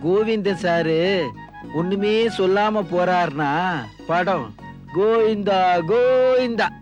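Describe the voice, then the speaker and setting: Man acting a wry, higher-voiced production manager. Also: expressive, fast talker, dull audio, faint music behind, close to an everyday voice. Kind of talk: Tamil television serial comic acting.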